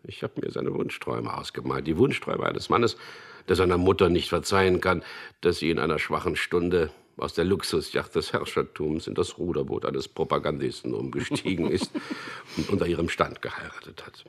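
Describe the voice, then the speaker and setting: An actor playing a wry, weary propaganda copywriter in a German radio drama, speaking in a dry, ironic way. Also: male voice, a bass voice, normal speed, heavily acted. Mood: teasing banter. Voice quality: husky, gravelly. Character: wry, weary male propaganda copywriter, narrating his story. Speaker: lead actor and first-person narrator.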